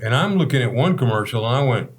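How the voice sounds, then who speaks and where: A man's voice: mid-range voice, expressive, fast. Male host, seventies, American accent. Folksy, emphatic talk-show delivery, conversational, leans on repeated phrases. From Texas, United States. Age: 70s.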